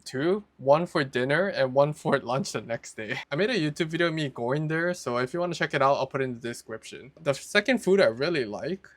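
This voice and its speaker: A man's voice: on the high side, lively intonation, fairly quick, few pauses, dry recording. A young American man from Hawaii talking casually and informally to camera as a vlogger. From Hawaii, United States. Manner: informal, conversational, mild slang. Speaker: vlogger presenting a list of favourite foods.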